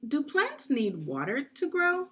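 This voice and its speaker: Slow, lively woman reading to children. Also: high voice. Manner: clearly enunciated, instructive, addressing young learners. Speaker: read-along teacher and narrator. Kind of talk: guided children's read-aloud with questions to the listener.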